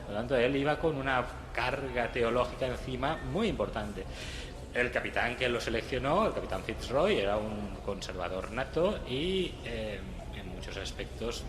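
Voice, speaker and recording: Guy explaining stuff interview style, medium pitch, echoey noisy room. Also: expressive, moderate pace. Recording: echoey with clear background noise.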